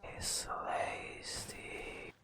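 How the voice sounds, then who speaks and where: A man softly narrating a fantasy game adventure as ASMR storytelling, in a breathy whisper with deliberate, drawn-out phrasing. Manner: slow, deliberate phrasing with long pauses. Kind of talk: soft-spoken ASMR storytelling.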